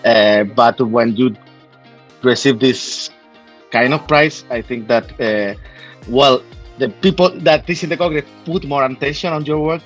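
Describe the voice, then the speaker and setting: A man's voice: medium pitch, measured pace, dull audio, soft background music. Hesitant man with a Spanish accent. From Spain. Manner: hesitant, with many fillers and tag questions. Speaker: postdoctoral researcher.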